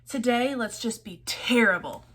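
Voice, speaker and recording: A woman voicing excitable, unruly young schoolchildren in a storybook, exclaiming their lines. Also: fairly high pitch, measured pace. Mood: rowdy.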